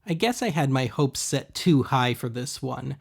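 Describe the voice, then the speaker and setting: A man's voice: medium pitch, expressive, measured pace, vocal fry. A man hosting a thrift-shopping video, giving casual voice-over commentary in a wry, sarcastic manner.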